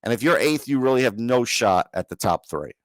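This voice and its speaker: A man's voice: mid-range voice, animated, brisk, no echo. A man co-hosting a sports podcast, giving casual, opinionated analysis in an emphatic, conversational way. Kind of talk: casual hockey analysis chat.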